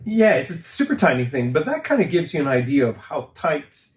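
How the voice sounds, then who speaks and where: A man's voice: fairly high pitch, very animated, fairly quick. A man in casual Q&A chat about making games, rambling and correcting himself, laughing often. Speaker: game studio staff, co-host. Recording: echoey room.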